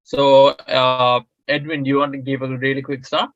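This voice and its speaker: A man's voice: medium pitch, flat delivery, normal speed, a bit bright, dull audio. A young man hosting a podcast interview in a casual, hesitant way, with frequent fillers and restarts. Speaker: podcast host.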